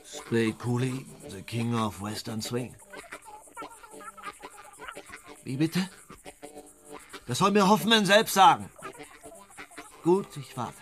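A man's voice: mid-range voice, slow pace, long pauses, faint music behind, close to an everyday voice. An actor playing a vain, hot-tempered aging male country radio star in a radio play, bossy and theatrical. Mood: stunned. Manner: bossy, theatrical, bursts into outraged shouting. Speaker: Western Swing radio show host.

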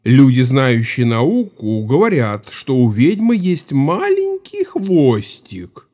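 An actor playing a conciliatory Cossack peasant who soothes quarrels with repeated, placating words in an audio drama. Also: male voice, mid-range voice, wildly swooping pitch, slow, dark tone, full character voice. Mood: earnest objection. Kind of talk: Russian audio drama dialogue.

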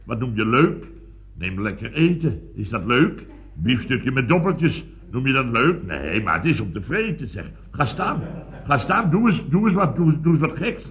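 An actor playing a blustering, self-important company boss in a radio comedy serial, sputtering, exclaiming and repeating himself pompously. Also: male voice, mid-range voice, big pitch swings, moderate pace. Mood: mock outrage. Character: blustering, excitable, self-important male boss of building firm. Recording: dull band-limited old radio recording.

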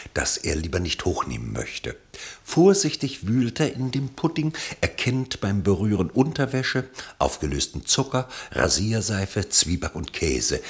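Ein Mann liest als Erzähler eines Hörspiels einen literarischen Text mit dramatischem Ausdruck. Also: male voice, deep voice. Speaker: narrator. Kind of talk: scripted radio play narration, literary and dramatic.

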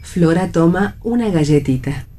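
Low, unhurried Argentine woman narrator. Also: animated, mellow. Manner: measured, descriptive. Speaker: stage-direction narrator. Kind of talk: scripted narration of a radio play.

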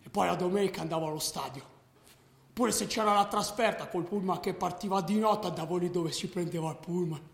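A restless young tearaway confesses without regret in a radio play. His voice is high with a gravelly creak, colloquial and matter-of-fact, in an echoey room. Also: brisk. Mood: matter-of-fact bravado. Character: restless delinquent young male football fan, unrepentant. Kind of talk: radio drama confessional monologue.

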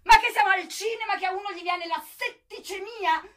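An actress playing a domineering, self-important senior hospital doctor in satirical stage dialogue, emphatic and dismissive with drawn-out words. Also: female voice, very high-pitched, a bit bright. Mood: indignant.

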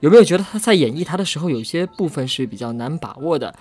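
Higher-voiced, lively male host. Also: quick pace, dry recording. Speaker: interview host. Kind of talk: casual chat, reading out questions.

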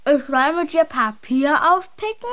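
A woman's voice: high-pitched, animated, a little slow, fairly bright tone, no room sound. A woman playing a curious, earnest young girl in a German radio play, speaking in a questioning way. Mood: inquisitive.

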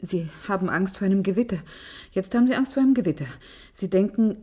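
A woman's voice: mid-range voice, lively intonation, fast, warm tone, breathy edge. An actress in a German radio play as a commanding, visionary woman leading an experiment, speaking with urgent intensity and faltering when unsettled. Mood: bewildered, agitated.

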